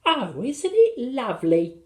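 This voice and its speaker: A storybook reader voicing tiny, excitable little boys in a squeaky, childlike manner. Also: slow. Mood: excited.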